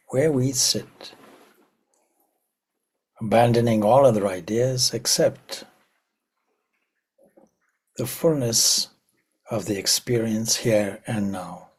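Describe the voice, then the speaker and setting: A man leading a guided meditation in a slow, prayerful way, letting phrases trail off into soft whispers. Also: fairly low pitch, breathy edge. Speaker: meditation teacher.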